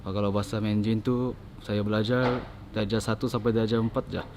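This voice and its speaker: A young male university student speaks casually in a low, flat voice at a quick pace. Clear background noise sits under his interview. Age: young adult.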